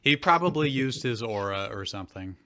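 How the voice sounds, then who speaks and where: A man's voice: medium pitch, expressive, a little fast, bright, forceful tone. A man giving casual, joking commentary as co-reader of a fan fiction.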